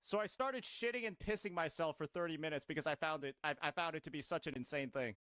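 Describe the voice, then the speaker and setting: A man's voice: on the high side, fast talker, fairly bright tone, dry recording. A man talking casually. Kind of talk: casual talk.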